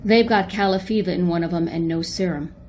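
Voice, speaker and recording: A woman playing a brisk, businesslike spaceship commander in an old-time style radio drama, clipped and authoritative. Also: on the low side, fairly even intonation, a little mellow, a little hiss or hum, lightly acted.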